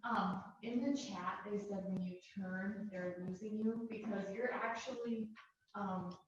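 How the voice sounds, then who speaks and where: A woman's voice: mid-range voice, fairly even intonation, a little slow, slight background noise. A woman co-host relaying chat messages with brief, helpful interjections from across the room. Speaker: webinar co-host. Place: meeting room, away from the mic. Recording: distant, very echoey, dull.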